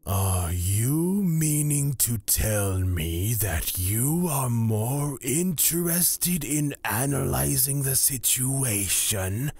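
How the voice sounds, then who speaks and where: Man acting as a slow, measured god of fear. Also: medium pitch, gravelly, darkish tone, husky, pitched above the performer's own voice, exaggerated voice. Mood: composed, inviting. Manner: formal, slow and measured, drawn-out phrasing. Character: calm, courteous male god of fear, amused and commanding. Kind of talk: scripted fantasy audio drama.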